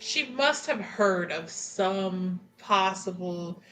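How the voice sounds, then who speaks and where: A woman's voice: mid-range voice, animated, slow pace, a bit bright, faint music behind, low-quality recording. A woman chatting casually as a tabletop roleplaying player, narrating her character in informal, sweary language. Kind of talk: casual improvised tabletop game chat.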